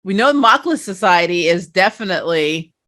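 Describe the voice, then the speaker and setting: A woman hosting a casual fan review, chatting in an animated, storytelling way. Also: lowish voice, moderate pace. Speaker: talk show host and interviewer.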